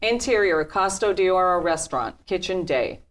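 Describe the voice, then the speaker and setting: Casting: female narrator with a deep register, deliberate and matter-of-fact, for scripted scene descriptions. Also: expressive. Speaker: narrator reading stage directions.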